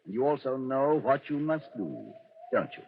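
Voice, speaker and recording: An arrogant Nazi colonel, muffled old radio. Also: male voice, medium pitch, wildly swooping pitch, moderate pace, gravelly. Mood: stern. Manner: pompous, condescending, formal. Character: cold, arrogant Nazi colonel, ruthless and domineering. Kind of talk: 1940s radio acting. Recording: muffled, noisy vintage radio broadcast.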